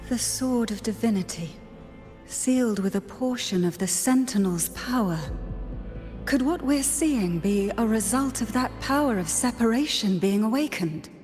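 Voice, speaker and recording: Calm solemn noblewoman from a game, formal, echoey hall, soft music behind. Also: on the high side. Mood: grave. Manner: measured, formal. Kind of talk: video game voice acting.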